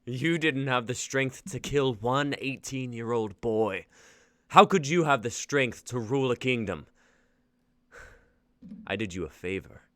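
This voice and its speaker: A man playing a battle-hardened young warrior, calm and taunting, in a live script reading. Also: medium pitch, a little slow, pauses often, dry recording, clean studio sound, natural voice. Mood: cold taunting.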